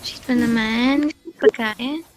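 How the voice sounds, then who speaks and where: Woman, Filipino accent, very high voice, slow-paced, playful game streamer, exclamatory, faint music. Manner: playful, exclamatory, code-switching into English. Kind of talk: casual gaming chat.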